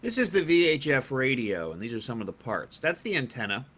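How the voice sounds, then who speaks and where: Bright-voiced American man teaching boating basics. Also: medium pitch, very animated, brisk. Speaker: sailing instructor. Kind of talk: informal instructional demonstration to camera.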